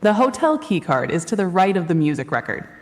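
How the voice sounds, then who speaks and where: A synthetic female voice playing an AI assistant, answering questions in a calm, informative way during a stage demo. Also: on the low side, brisk, noisy background. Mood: helpful. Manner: even, informative delivery. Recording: long hall reverb.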